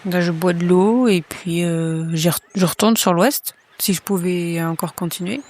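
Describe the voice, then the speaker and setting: A player at a tabletop horror game talks through their character's actions in a casual, tentative way. They think out loud, pausing on fillers before settling on a choice. Their voice sits at a middle pitch. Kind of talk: casual play talk, declaring actions.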